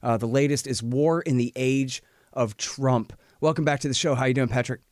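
A man's voice: medium pitch, moderate pace, dry recording, clean studio sound. Folksy man hosting a podcast. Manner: relaxed and folksy, drawls with many uh's. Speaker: interview host. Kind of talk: casual podcast interview.

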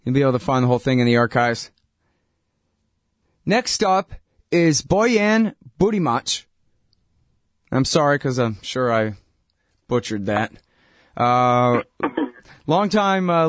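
A man hosting a talk show, chatting casually with guests in a loose, friendly way, with quick apologetic asides. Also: a little high, animated, no room sound, studio quality. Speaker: radio show host. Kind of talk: casual interview chat.

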